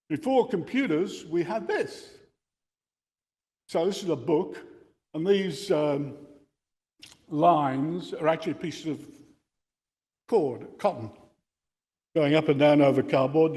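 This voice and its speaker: A man's voice: mid-range voice, darkish tone. Older man lecturing in a reverberant hall. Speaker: presenter giving a talk on radio contesting. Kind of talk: informal lecture with explanations.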